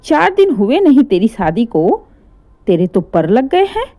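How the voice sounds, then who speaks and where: A woman voicing an angry middle-aged mother who scolds and shouts at her son. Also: on the high side, big pitch swings, a little slow. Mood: furious.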